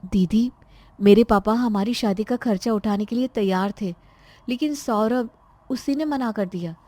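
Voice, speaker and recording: A woman's voice: fairly high pitch, measured pace, warm tone, dry recording. A woman playing an earnest young adult in a cartoon moral story, speaking in a protesting, defensive manner.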